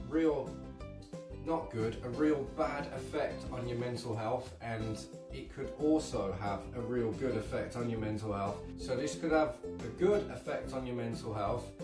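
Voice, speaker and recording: A man's voice: medium pitch, distant mic. A man vlogging a motivational talk to his viewers, earnest and direct, repeating himself for emphasis. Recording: roomy echo, from off-mic, music behind. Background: guitar music.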